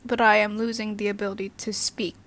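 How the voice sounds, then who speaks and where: A woman's voice: mid-range voice, level tone, fast, a bit bright. A young woman whose first language is Indonesian, hosting her channel in a chatty, giggly way with lots of fillers. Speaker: channel host. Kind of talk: casual educational chat.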